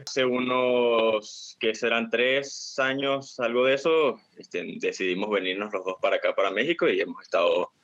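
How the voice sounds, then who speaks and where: A man with a Venezuelan accent, a guest chef telling his story in a relaxed, casual way during an interview. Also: mid-range voice, normal speed, few pauses, muffled recording. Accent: Venezuelan Spanish.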